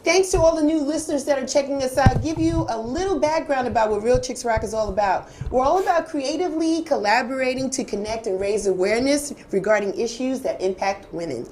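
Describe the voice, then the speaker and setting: A woman's voice: sits high, brisk, hardly any pauses, a little mellow, slight background noise. A woman from Detroit hosting a casual interview talk show, upbeat and enthusiastic. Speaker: host.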